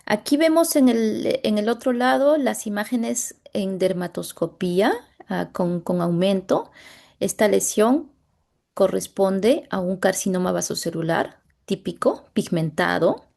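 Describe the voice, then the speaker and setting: A Latin American woman with a gravelly, dark and mellow voice walks through a medical case as a dermatologist. She speaks haltingly, often pausing to find the right word, over a video call. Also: mid-range voice. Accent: Latin American Spanish. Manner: halting, pauses to search for words. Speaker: dermatologist presenting a clinical case.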